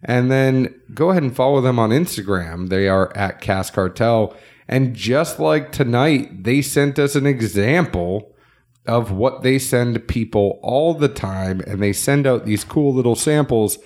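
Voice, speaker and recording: A man's voice: mid-range voice, expressive, unhurried, a little mellow. A man with a nasal voice co-hosting a casual podcast, chatting loosely and running through sponsor plugs. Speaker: podcast co-host.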